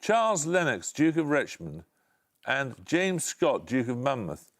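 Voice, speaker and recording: A man hosting a televised quiz, reading questions and calling answers in a brisk, authoritative way. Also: mid-range voice, very animated, heavy background noise. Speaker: quiz show host.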